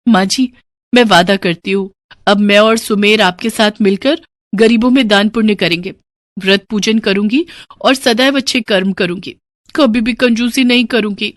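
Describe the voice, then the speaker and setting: Woman acting a shrill, stingy young daughter-in-law. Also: moderate pace, no echo, studio quality, natural voice. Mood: remorseful, pleading. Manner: scolding, emphatic, theatrical. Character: stingy, sharp-tongued young Indian daughter-in-law, demanding wife.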